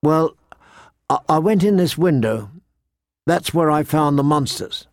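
A man puts on a stubborn, chattering young girl for an audiobook. The voice is lifted well above his usual pitch, eager and halting, in a dry close recording. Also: lively intonation, creaky, no room sound. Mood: insistent, flustered. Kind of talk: audiobook character dialogue.

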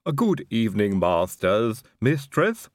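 Guy doing a creepy patched-up butler, slow and way too polite, kinda lively tune, dry sound. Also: on the low side, dry recording. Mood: calm polite. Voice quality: slow, unhurried, oddly polite. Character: eerie calm male manservant, stitched together. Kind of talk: audiobook character voice.

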